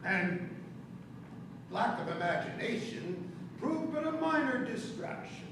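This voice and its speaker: Stage comedy: male actor as haughty, scornful deity, animated delivery, distant noisy recording in a reverberant theater. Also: very high-pitched, takes it slowly, heavily acted. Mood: scornful, mocking. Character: sardonic, pompous male god of mockery and criticism. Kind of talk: community theater stage acting. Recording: echoey stage from far off, noisy.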